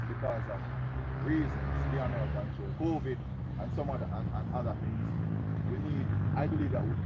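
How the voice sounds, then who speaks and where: A young Jamaican man with a strong, carrying voice makes an earnest, rallying pitch to his neighbours at an outdoor meeting. His voice sits at a middling pitch for a man. Heavy road traffic rumbles loudly behind him. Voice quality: strong, loud voice. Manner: earnest, rallying, tags lines with "right?". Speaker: community organizer. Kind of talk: outdoor community meeting speech. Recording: loud traffic noise behind. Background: passing cars.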